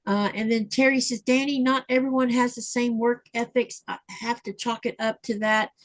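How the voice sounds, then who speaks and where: A woman hosting a home craft livestream talks in a relaxed, chatty way, full of ums and uhs. Her voice sits a little high. She reads viewer comments aloud and adds her own remarks. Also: normal speed. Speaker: livestream host reading chat. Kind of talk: casual chat. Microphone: livestream mic.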